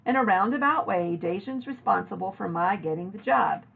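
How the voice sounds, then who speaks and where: A woman's voice: medium pitch, lively intonation, normal speed, gravelly, breathy edge. A woman voicing a firm, motherly woman speaking to her young daughter in a storybook read-aloud. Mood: pleased. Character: tense, weary mother of a young girl. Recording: narrow, phone-like lo-fi audio.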